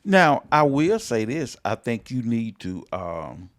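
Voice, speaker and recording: A pastor in his fifties co-hosting a casual talk show, emphatic and quick to repeat himself. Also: mid-range voice, very animated. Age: 50s. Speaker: pastor and podcast co-host. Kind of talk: casual chat.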